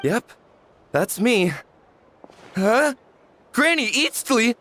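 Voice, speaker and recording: A man's voice: very high-pitched, lively intonation, very slow, background noise, full character voice. A man voicing a polite, nervous young townsman in a video game, deferential, humble and earnest.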